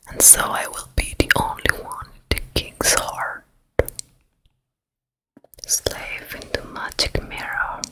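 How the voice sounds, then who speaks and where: A wicked storybook queen, played by a woman, speaks in a slow, breathy whisper. She is vain and jealous, measuring out each phrase with quiet menace in an ASMR fairy-tale roleplay. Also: low-quality recording. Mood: hateful, scheming, then commanding, insistent. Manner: hushed, slow, deliberate, menacing.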